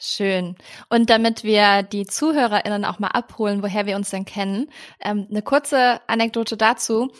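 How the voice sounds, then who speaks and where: A woman's voice: mid-range voice, steady tone, moderate pace. Woman hosting a conversational podcast interview. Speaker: podcast host interviewing a guest.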